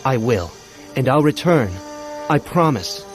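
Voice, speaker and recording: A man's voice: medium pitch, expressive, measured pace, soft background music, natural voice. A man playing an earnest, impulsive young Jedi apprentice with an urgent manner in a film storybook. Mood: determined.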